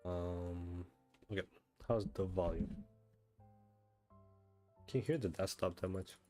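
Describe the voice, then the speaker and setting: A man chatting casually as he livestreams a game, thinking out loud and often asking himself questions. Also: sits low, steady tone, slow, soft background music. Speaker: livestreamer, gamer. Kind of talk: casual livestream chat while playing a game.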